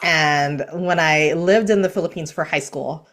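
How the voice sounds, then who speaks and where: A woman's voice: sits low, measured pace. A woman from Hawaii telling stories in a casual panel chat, acting out quoted lines as she goes. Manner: animated storytelling with quoted asides.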